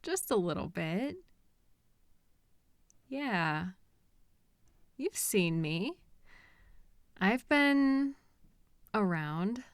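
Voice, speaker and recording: A woman playing an obsessive, possessive kidnapper in an intimate roleplay audio drama, coaxing and patient with a condescending edge and hesitant pauses. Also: on the low side, clean tone, no echo. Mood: intimate, wistful. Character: obsessive, possessive female kidnapper, soothing and delusional.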